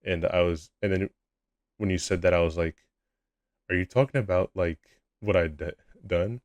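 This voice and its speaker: A young man with a low voice, chatting casually with his live-stream chat in a laid-back, rambling way at a desk mic at home. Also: moderate pace, a little mellow, no room sound.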